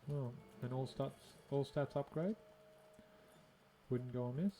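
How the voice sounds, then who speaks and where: A man's voice: mid-range voice, takes it slowly, dark tone, slight background noise. An Australian man giving casual gameplay commentary, musing and thinking aloud to himself. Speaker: gaming video host.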